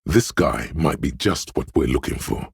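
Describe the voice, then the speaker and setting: A man voicing a suave, wry inner voice of a detective in a video game, narrating in a measured, knowing way. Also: rumbling low, animated, gravelly, husky, dry recording, clean studio sound, heavily acted. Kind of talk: second-person game narration.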